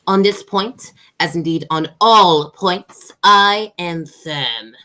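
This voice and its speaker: A woman's voice: fairly low pitch, slow pace, sharp tone. A woman playing a haughty, stern aristocratic mother and aunt in an amateur radio play, declaiming with snobbish authority.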